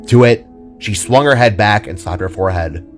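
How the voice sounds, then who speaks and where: A man's voice: lowish voice, moderate pace, faint music behind. A man narrating a scripted audio drama in the third person.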